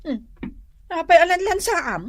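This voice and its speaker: A woman acting a suspicious, nagging wife in Ilocano radio drama, with a drawn-out, questioning manner. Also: extremely high pitch, sing-song, slight background noise, exaggerated voice. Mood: curious, accepting. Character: suspicious, nagging wife keeping watch on her husband.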